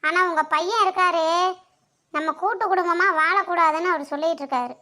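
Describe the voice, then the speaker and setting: A performer voicing an excitable, whiny young daughter-in-law with a Tamil accent in a comic cartoon soap parody. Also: very high voice, brisk, heavily acted. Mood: complaining.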